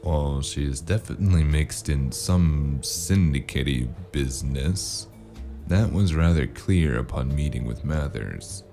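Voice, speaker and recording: A man playing a laconic, world-weary cyberpunk investigator in a noir audio drama, gravelly, terse and deadpan. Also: measured pace, faint music behind, exaggerated voice. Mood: serious, guarded. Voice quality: very deep, gravelly.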